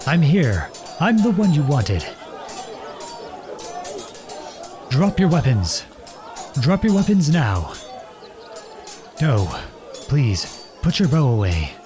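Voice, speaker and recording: A man playing a noble runaway outlaw prince in a dramatic audio roleplay, earnest and imploring, with a husky voice. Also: fairly high pitch, sing-song, takes it slowly, long pauses, music in the background, a crowd in the background. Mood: commanding, urgent. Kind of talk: dramatic ASMR roleplay acting.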